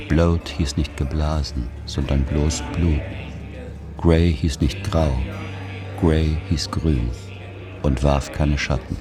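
Memoir narrator, very deep bass, reflective, measured, literary radio play, light music underneath. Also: male voice. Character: reflective man recalling his rural childhood.

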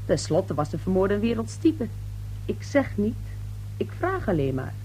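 An actress playing a brash, slippery young newspaper reporter in a radio play, glib, insistent and patronizing. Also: female voice, mid-range voice, very animated, moderate pace, mellow, breathy edge. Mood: prying, smooth. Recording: noisy old radio drama recording.

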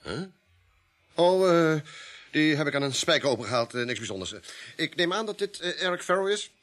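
A man acting in a radio drama as a hesitant, evasive police inspector who speaks politely and haltingly. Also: fairly high pitch, animated, a bit bright. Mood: uneasy, questioning.

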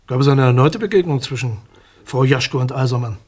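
Actor as husky, formal, dismissive male prosecutor. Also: mid-range voice, darkish tone, close to an everyday voice. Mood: sceptical, firm. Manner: formal, dry, measured. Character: measured, dismissive male state prosecutor, legalistic and condescending. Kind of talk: radio crime drama dialogue.

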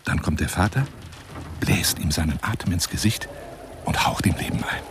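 A man's voice: low-pitched, moderate pace, noisy background, close to an everyday voice. An actor in a radio monologue playing a florid, wheedling lion hunter who courts a woman over the phone in an intimate, theatrical way. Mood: rapt, grandiose. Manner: grandiloquent, wheedling, intimate, dropping into whispers.

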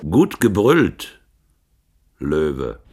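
An actor playing a solemn announcer of mock proverbs, drawing out each phrase in an experimental radio play. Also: male voice, low-pitched, wildly swooping pitch, darkish tone, heavily acted. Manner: drawn-out, declamatory delivery.

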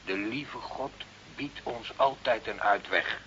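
A man acting as a fatherly, pious village priest in a radio play, gently scolding in an unhurried way. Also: lowish voice. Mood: hopeful, coaxing. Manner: unhurried, pious, fond of quoting scripture. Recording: muffled, hissy old radio recording.

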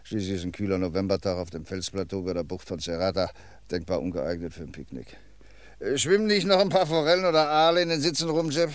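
A man playing a shrewd, dryly ironic police commissioner in an old radio crime drama, talking in a measured, lecturing way. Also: low-pitched, lively intonation. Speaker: police inspector.

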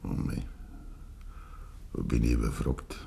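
An actor playing a stubborn, grieving old farmer with a Bavarian accent and a gruff, deliberate manner, in a 1950s German dialect radio play. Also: male voice, a bass voice, mellow, heavily acted. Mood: gruff, resigned. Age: older. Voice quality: gravelly, husky.

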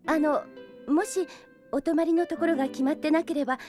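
An actress playing a caring but strict twenty-year-old big sister in an audio drama. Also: female voice, sits high, fairly even intonation, fairly quick, natural voice. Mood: polite, welcoming. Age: young adult. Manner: polite to guests, strict with her brother. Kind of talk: Japanese audio drama acting. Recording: loud background music.

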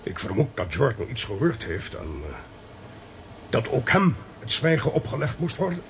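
An actor with a Netherlands Dutch accent playing an authoritative intelligence agent explaining things emphatically in a radio play. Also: male voice, mid-range voice, very animated, moderate pace, slight background noise, natural voice. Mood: grave.

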